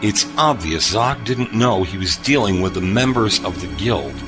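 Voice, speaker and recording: A man playing a wry, composed spacefarer who speaks gravely and knowingly in a sci-fi audio drama. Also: fairly low pitch, animated, moderate pace, over music, lightly acted. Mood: grim.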